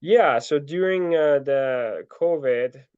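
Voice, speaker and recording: A young man telling his story in a relaxed interview, full of fillers and restarts. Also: on the high side, slow, clean tone, no room sound. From Ukraine. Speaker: guest, ultra trail runner. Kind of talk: casual interview answers. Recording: dull lo-fi dry sound.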